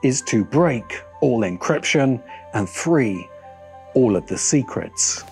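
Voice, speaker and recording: A man's voice: mid-range voice, animated, slow pace, darkish tone. Middle-aged man, wry essayist over music. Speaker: video essayist and host. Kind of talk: scripted video essay commentary. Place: open-sided outdoor pavilion by a garden. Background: background music.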